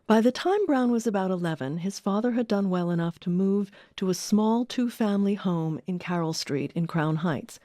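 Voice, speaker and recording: A woman's voice: mid-range voice, normal speed, few pauses, mellow, no echo. A woman reading scripted documentary narration as the narrator, close on a studio microphone.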